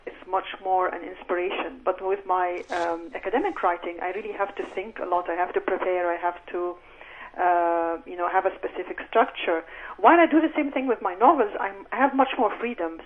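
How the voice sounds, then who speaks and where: Woman on a tinny phone line. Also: medium pitch. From Egypt. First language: Arabic. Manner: thoughtful, measured, with fillers. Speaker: novelist and academic guest. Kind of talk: radio interview answers. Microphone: telephone.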